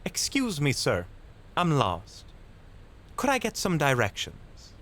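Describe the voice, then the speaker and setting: Slow-talking cocky young mugger, acted by a man. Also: on the high side, wildly swooping pitch, fairly bright tone, slight background noise, exaggerated voice. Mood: fake friendly. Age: young adult. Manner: mock-polite, threatening. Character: cocky young male mugger, menacing and impatient. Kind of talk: audio drama acting.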